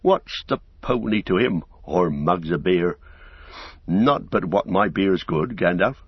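A man's voice: low-pitched, animated, slow pace, breathy edge, no room sound, lo-fi sound, heavily acted. A man voicing a good-hearted, easily flustered innkeeper in a storybook, rambling along in folksy, rustic phrases. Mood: earnest, proud. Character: broad-faced, garrulous, good-hearted, flustered male innkeeper. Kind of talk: audiobook character acting.

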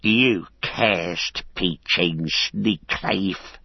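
Sneering little orc tracker, raspy. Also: male voice, fairly low pitch, expressive, unhurried, no echo, muffled recording, voice pushed up, full character voice. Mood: frightened rage. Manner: jeering, whining complaints. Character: small orc tracker, grumbling, sneering, insolent male.

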